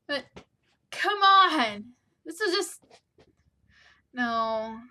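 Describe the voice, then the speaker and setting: A woman's voice: sits high, pushed and bright. A woman hosting a let's play, reading a visual novel aloud and adding wry, opinionated asides.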